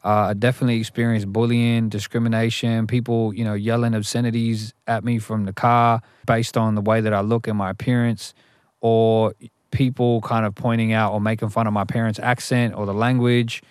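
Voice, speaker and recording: A man's voice: lowish voice, little pitch movement, a little fast, clean tone, dry recording, studio quality. A young adult man, a rapper talking through his upbringing as an interview guest, thoughtful and full of restarts. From Australia. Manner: thoughtful, frequent restarts and "you know". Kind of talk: interview, reflective storytelling.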